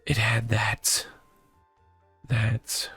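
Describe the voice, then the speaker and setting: A man voicing a rambling, oddly proud corporate mascot stuck in a cardboard box, halting and earnest, in a comedic visual novel read-aloud. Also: fairly low pitch, monotone, bright, forceful tone, slightly rough, heavily acted. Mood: wistful, awed. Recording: music behind the voice.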